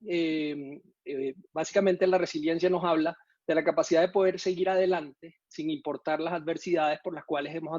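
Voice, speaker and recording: A man's voice: high-pitched, darkish tone, no room sound, highs cut off. Hesitant Venezuelan man on a call. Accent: Venezuelan Spanish with some Mexican usage. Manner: hesitant, many fillers and restarts. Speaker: conference speaker. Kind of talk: online conference talk. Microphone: video call.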